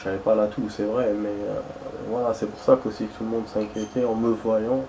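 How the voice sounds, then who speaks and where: A man's voice: on the low side, flat delivery, moderate pace, background noise. A young man chatting casually while playing a game, rambling and hesitant, with many fillers and restarts. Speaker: gaming streamer.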